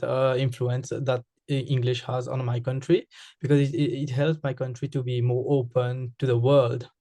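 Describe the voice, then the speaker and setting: Mauritian man, flat hesitant answers. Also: mid-range voice, no echo. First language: Mauritian Creole. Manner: hesitant, many fillers and restarts. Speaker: interviewee. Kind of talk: casual interview answers.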